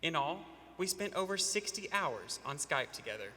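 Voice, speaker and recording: A man's voice: a little high, very animated, normal speed, sharp tone, long reverb tail. A man from Arkansas giving a rehearsed student presentation with a confident, emphatic delivery. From Arkansas, USA.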